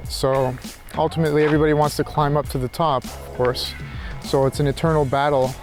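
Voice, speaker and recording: Male walk-and-talk vlogger, slightly raised pitch, reflective and halting, casual delivery, light music underneath. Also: little pitch movement. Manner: reflective, halting, thinking aloud. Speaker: philosophy tutor vlogger. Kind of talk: casual rambling monologue while walking. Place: outdoors, walking.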